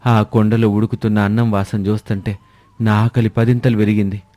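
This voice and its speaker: A proud, supportive village man acted by a man in an animated moral tale. His voice is low, dark and mellow, earnest and emphatic, recorded dry. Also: fairly quick, dry recording, soft background music, lightly acted. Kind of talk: animated moral story dialogue.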